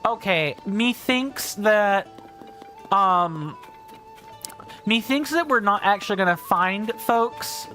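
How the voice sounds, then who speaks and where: A man livestreaming a game, chatting casually and reading out viewer comments, with a big booming voice. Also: animated, slow, long pauses, sharp tone, soft background music. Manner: chatty, thinks aloud, reads viewer comments. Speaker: streamer. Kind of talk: casual gameplay livestream chat.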